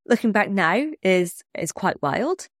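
A woman's voice: medium pitch, big pitch swings, takes it slowly, dry recording, studio quality. A woman with a cold, sounding a bit snuffly, hosting her own podcast and reflecting candidly in a scripted solo talk. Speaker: podcast host.

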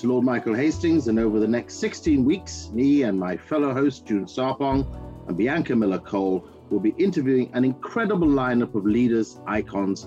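Older man, podcast host, lively pitch, formal and measured, dull lo-fi sound with faint music underneath. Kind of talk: podcast interview introduction.

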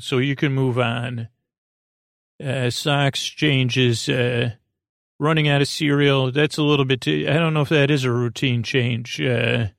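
A man with a noticeably creaky voice talks softly reassuring nonsense as a bedtime podcast host. He wanders off on tangents, stops and restarts his sentences, and keeps a friendly, gentle manner. The sound is dry, with hardly any room in it. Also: mid-range voice, no room sound. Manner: hesitant, digressive, often restarting mid-sentence. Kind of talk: rambling, meandering monologue to lull listeners to sleep.

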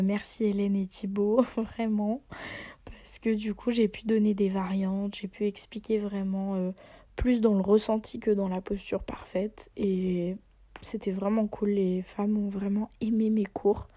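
A woman's voice: mid-range voice, warm tone. A young French-speaking woman who teaches yoga, chatting casually with lots of fillers and often dropping to a near whisper. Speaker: yoga teacher and podcast host. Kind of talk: casual spoken travel diary. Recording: slightly dull lo-fi sound.

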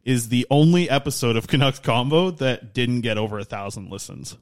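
A man hosting a podcast, rambling eagerly in casual chat. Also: mid-range voice. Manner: fast, eager, rambling plugs. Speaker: podcast host.

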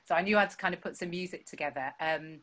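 A woman's voice: lowish voice, rapid-fire, a bit bright. A woman, chatting casually about writing her songs, with lots of fillers and self-deprecating humor. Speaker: songwriter guest. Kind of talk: casual chat. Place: home.

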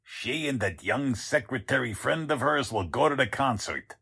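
A man's voice: medium pitch, lively intonation, normal speed, bright, forceful tone, heavily acted. An actor playing a scheming, pompous con man who poses as a count, in 1940s radio drama style. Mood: menacing, determined. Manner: suave affected courtesy switching to gruff bossiness.

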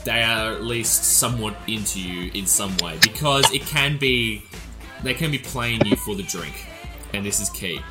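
A man's voice: on the low side, normal speed, over loud music. A young man in his twenties hosting a casual podcast chat, joking and swearing freely. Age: 20s. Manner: laughing, swearing banter. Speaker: podcast host.

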